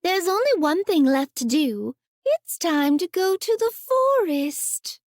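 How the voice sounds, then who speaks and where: A woman's voice: very high voice, big pitch swings, measured pace, dry recording, studio quality, voice pushed up, heavily acted. A woman voicing a plucky, anxious little girl in a children's story, earnest and exclamatory. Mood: determined.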